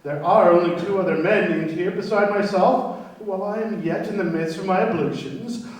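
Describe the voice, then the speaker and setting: A man playing an agitated, indignant diamond merchant in a radio play, excitable and formal in his foreign-sounding phrasing. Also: high-pitched, lightly acted. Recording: very echoey, distant from microphone.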